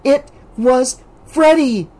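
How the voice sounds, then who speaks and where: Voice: mid-range voice, lively intonation, a little mellow, voice pushed up. A reader voicing a trembling, haunted teenage girl. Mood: shaken. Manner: tremulous, slow, pleading. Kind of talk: audiobook character voice.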